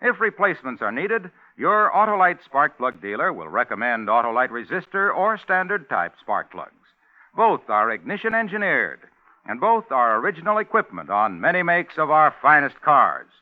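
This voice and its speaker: A man's voice: fairly high pitch, sing-song, moderate pace. A man announcing a vintage radio commercial in an emphatic, polished salesman style. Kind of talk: scripted 1950s radio ad pitch. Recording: thin, phone-like old radio recording.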